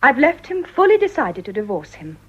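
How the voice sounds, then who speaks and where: A wronged, defiant young upper-class English wife in a period radio drama. Her voice is fairly high and husky, her phrasing formal and emphatic. The sound is dull and lo-fi. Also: wildly swooping pitch, a little fast, heavily acted. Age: young adult.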